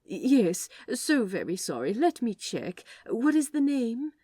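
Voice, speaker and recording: A woman's voice: on the high side, sing-song, slow pace, dark tone, slightly rough, no room sound, studio quality, pitched above the performer's own voice, full character voice. A woman voicing a flustered, prim and polite male receptionist who stammers and apologises in an audiobook-style story. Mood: apologetic.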